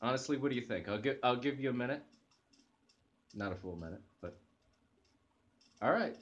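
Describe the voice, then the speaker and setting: A man's voice: medium pitch, animated, normal speed, a bit bright, highs cut off. A young man hosting a casual coffee review to camera from his living room sofa, talking enthusiastically with hesitant restarts and fillers, recorded on a close webcam. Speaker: channel host reviewing coffee. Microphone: webcam at close range.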